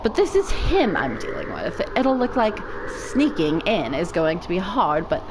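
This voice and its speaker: A woman's voice: fairly low pitch, sing-song, unhurried, noisy background. A woman giving a spontaneous dramatic reading of a visual novel as a let's play narrator, over game music. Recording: music playing behind the voice.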